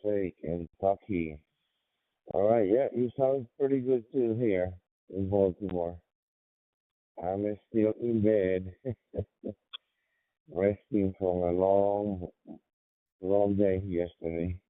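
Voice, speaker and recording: A man chatting casually and haltingly as an amateur radio operator, heard over a radio link. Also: low voice, fairly even intonation, dry recording. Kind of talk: casual radio contact chat. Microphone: digital ham radio transmission. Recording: tinny phone-like radio link.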